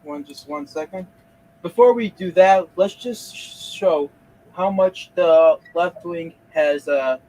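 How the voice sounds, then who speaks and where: A man hosting a reaction video, speaking in halting bursts with many restarts. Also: fairly high pitch, darkish tone, poor audio. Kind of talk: casual reaction commentary.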